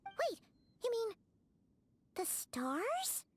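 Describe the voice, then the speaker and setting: Tiny game fairy sidekick: extremely high, squeaky, childlike, excitable, wildly swooping intonation. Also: female voice, drawn-out words, a little hiss or hum, heavily acted. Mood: puzzled. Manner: excitable, speaks of herself in third person. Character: tiny childlike chirpy fairy girl companion. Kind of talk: video game character acting.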